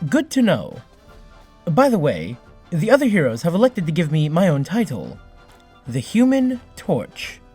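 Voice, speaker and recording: A curious, earnest android hero in an audio drama. The voice is a little high and rough-edged, with formal, questioning lines that rise and fall dramatically. Faint music sits behind it. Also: male voice, huge pitch swings, unhurried, darkish tone, full character voice. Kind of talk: cinematic audio drama acting.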